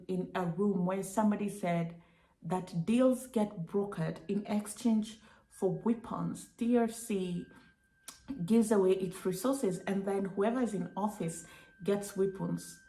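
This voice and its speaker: A woman delivering political commentary straight to her viewers, emphatic and rhetorical, posing question after question. Her voice is mid-pitched and rings in an echoey room. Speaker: political video creator. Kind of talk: commentary to camera.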